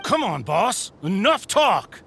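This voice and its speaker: A man's voice: high voice, big pitch swings, takes it slowly, creaky, bright, forceful tone, slight background noise, exaggerated voice. An actor playing a scheming bandit henchman in an anime game. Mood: impatient. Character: scheming bandit henchman egging on his boss.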